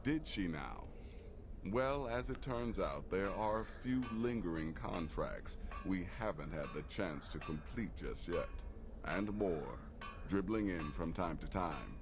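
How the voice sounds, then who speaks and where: A deep-voiced, condescending assassin. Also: male voice, expressive, music in the background. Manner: smooth, condescending, measured. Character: cocky male desert-born assassin guild leader. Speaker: game character assigning contracts.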